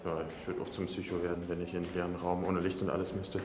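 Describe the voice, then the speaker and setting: Radio drama: actor as sympathetic male prison officer, low and fast, understated, roomy noisy sound. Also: completely flat delivery, natural voice. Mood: calm, sympathetic. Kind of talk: naturalistic German radio drama acting. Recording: roomy with clear background noise.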